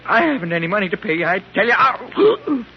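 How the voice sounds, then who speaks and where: High-voiced, frightened old blind beggar. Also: male voice, wildly swooping pitch, a little fast. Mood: frightened pleading. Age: older. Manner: pleading, stammering. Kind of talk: 1930s radio acting. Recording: noisy muffled 1930s radio recording.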